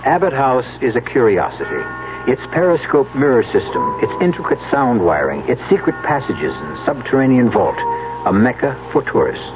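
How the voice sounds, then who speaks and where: A man hosting and narrating a radio mystery with scripted, measured, wry and portentous delivery. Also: medium pitch, very animated. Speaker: radio drama host and narrator. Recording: dull radio recording with music.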